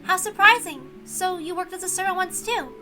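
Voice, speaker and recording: A woman's voice: extremely high pitch, moderate pace, sharp tone, voice pushed up. A woman voicing a timid, polite young servant girl in a visual novel reading, hesitant and deferential over music. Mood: amazed.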